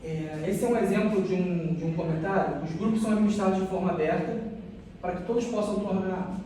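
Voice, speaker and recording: A Brazilian man giving an academic research presentation as a student, haltingly, often repeating and restarting phrases. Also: high-pitched, warm tone. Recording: distant mic, very echoey room, faint noise.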